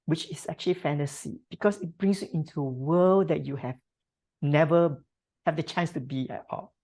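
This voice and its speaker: A woman guest from Singapore with a slightly low voice. She answers in a reflective way, with frequent fillers and tag questions. Her delivery is thoughtful and unhurried. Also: mellow. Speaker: podcast guest.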